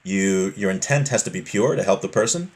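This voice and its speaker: A man's voice: deep voice, expressive, a little fast. A man teaching an informal religious text class, explaining each point in plain, everyday words and repeating it for clarity.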